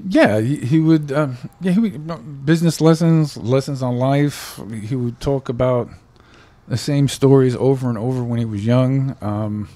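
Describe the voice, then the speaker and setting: Podcast co-host, male, warm mellow tone, animated storyteller who laughs a lot. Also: mid-range voice, unhurried. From United States. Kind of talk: casual chat.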